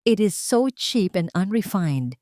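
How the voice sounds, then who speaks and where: A woman's voice: mid-range voice, sing-song, unhurried, mellow, dry recording. A woman storyteller plays a rich young rival in an audio drama, haughty and sneering. She speaks with snobbish disdain in a dry, close recording.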